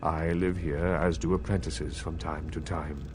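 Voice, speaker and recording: Deep, formal vampire elder. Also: male voice, little pitch movement, over music. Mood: patient, instructive. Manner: formal, measured, archaic courtesy. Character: courteous, scholarly vampire regent, patient, secretive mentor. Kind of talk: video game role-playing dialogue.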